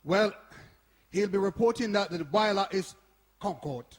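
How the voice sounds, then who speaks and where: A man's voice: sits high, measured pace. A man playing a hesitant, bumbling, good-natured committee helper who stumbles over his words in a stage play. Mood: genial. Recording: echoey hall, live performance.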